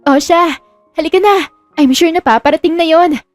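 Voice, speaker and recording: A woman's voice: very high-pitched, normal speed, no room sound, faint music behind, voice pushed up. A woman playing an eager, nervous young wife who speaks sweetly and coaxingly in a romance audio drama. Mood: cheerful, coaxing.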